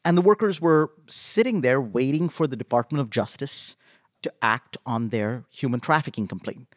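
Male interview guest, labor organizer type, average pitch, measured and halting narrative delivery, dry recording. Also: dry recording. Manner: halting, measured storytelling with pauses. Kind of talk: recounting a story in an interview.

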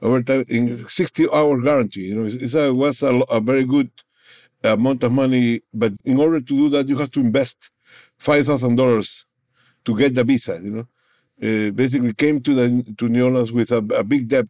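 A man from Peru with a Peruvian Spanish accent, a native Spanish speaker, recounting his experience haltingly in an interview. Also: medium pitch, a little mellow, no room sound. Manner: halting, repeats words, often says "you know". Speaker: interview guest, former migrant worker. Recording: dull, slightly muffled, dry audio.

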